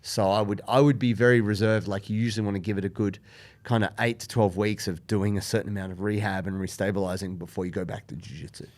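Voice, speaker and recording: A man with a somewhat low voice gives earnest, emphatic advice in a relaxed podcast chat. He stresses caution and explains his reasons carefully. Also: fast. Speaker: podcast co-host.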